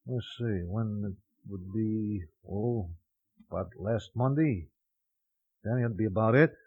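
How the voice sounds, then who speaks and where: Muffled vintage-radio New wiseguy. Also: male voice, lowish voice, slow pace, breathy edge, full character voice. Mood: wry, offhand. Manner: colloquial, mock-formal phrasing. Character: chatty ex-convict informant, dry New York underworld wiseguy. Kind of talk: old-time radio crime drama acting.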